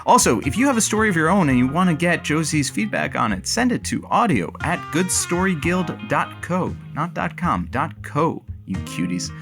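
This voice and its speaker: A man's voice: fairly high pitch, sing-song, moderate pace, hardly any pauses, music in the background. A man playing a melodramatic, fickle radio station sidekick, theatrical and grandiose, in a comedic radio drama. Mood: cheeky, casual.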